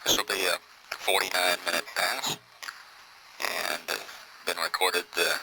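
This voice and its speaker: Male mission status announcer, deep voice, measured and halting delivery, clearly noisy vintage broadcast feed. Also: little pitch movement. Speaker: mission operations commentator. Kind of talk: live mission status commentary. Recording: noisy 1980s space mission audio feed.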